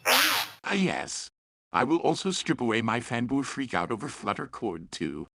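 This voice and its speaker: A man's voice: mid-range voice, very animated, measured pace, vocal fry, pushed and bright, no echo. A man hosting a scripted review in a chatty, opinionated way, with playful, self-aware asides. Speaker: host and reviewer of fan fiction and audio dramas.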